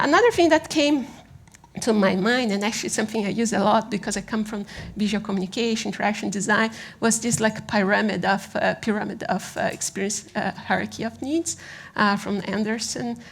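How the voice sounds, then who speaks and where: A woman from Brazil with a Brazilian accent giving an informal conference presentation in a halting, conversational manner, often checking in with the listeners. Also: fairly high pitch, steady tone, vocal fry. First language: Portuguese. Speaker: conference speaker on design.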